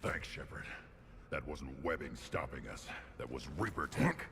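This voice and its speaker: An actor voicing a huge, gruff, battle-hungry alien warrior in a video game, blunt and clipped, with a growling voice. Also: male voice, animated, a little slow, exaggerated voice. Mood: grim. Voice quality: deep gravelly growl. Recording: quiet game audio under noisy ambience.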